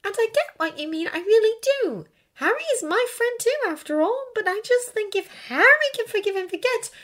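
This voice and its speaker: A very high, swooping teenage witch played by a woman in an audiobook. She is bookish and earnest, insistent and exasperated, yet caring. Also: animated, a little fast, fairly bright tone. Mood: tentative, insistent. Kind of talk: acted audiobook dialogue.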